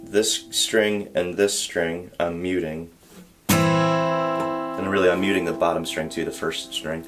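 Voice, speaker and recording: A man teaching a song on guitar, explaining step by step in a patient, relaxed way and counting beats aloud. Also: low voice, takes it slowly. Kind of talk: guitar tutorial. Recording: loud guitar under the voice. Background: acoustic guitar playing.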